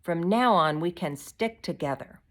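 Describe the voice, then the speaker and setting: A woman's voice: lowish voice, moderate pace, close to an everyday voice. Woman voicing an earnest, pleading young daughter. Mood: earnest, brave. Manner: pleading, faltering.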